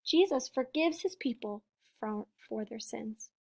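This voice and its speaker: A woman with a high-pitched voice reads a picture book aloud to children. She phrases things deliberately, leaning on important words. She speaks as a teacher would, explaining simply to young listeners. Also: animated, vocal fry, darkish tone, slightly rough. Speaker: storybook reader and teacher.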